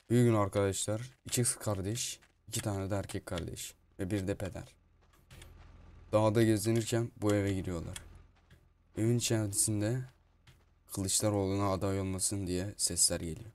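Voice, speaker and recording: A man with a fairly low voice hosts a game roleplay video. He improvises comic commentary and swears freely. Faint noise and computer clicks sit behind him. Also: a little mellow. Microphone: gaming mic at a computer. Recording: constant noise with keyboard and mouse clicks.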